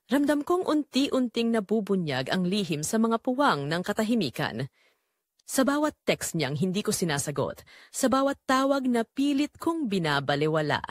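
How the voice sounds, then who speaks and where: A woman reading a romance story as dramatic scripted narration, in a measured, emotive way. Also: medium pitch, dry recording, studio quality.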